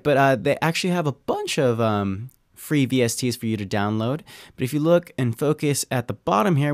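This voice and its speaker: A man talking through a software tutorial as an audio engineer, in an easygoing, conversational way with informal asides. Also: medium pitch, no echo, clean studio sound. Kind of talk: casual software tutorial talk.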